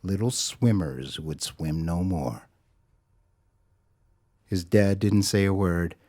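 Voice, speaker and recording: Low-pitched male story narrator. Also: lively intonation, takes it slowly, a little mellow.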